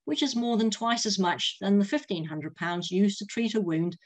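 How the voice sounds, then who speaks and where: A woman's voice: mid-range voice, normal speed. New Zealand woman presenting a lecture. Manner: steady, reading from slides. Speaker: consultant nurse presenter. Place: room at home. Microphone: laptop webcam on a video call.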